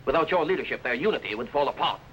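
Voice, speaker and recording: Actor as imperious, scheming medieval king, very animated. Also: mid-range voice, rapid-fire, natural voice. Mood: haughty. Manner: imperious, pompous, commanding. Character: arrogant, tyrannical, scheming medieval English king. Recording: noisy 1950s television soundtrack.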